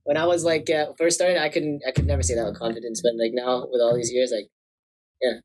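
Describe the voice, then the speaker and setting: A man's voice: medium pitch, flat delivery, quick pace, heavy background noise. A young Canadian man with a Canadian accent, a personal trainer chatting as a podcast guest, laid-back, slangy and swearing a lot. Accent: Canadian English. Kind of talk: casual chat.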